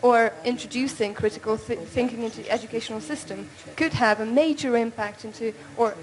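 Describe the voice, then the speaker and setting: A woman in the audience posing a measured, tentative question to a conference panel. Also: on the high side, fairly even intonation. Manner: measured, tentative, many "perhaps". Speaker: audience member. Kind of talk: question from the floor.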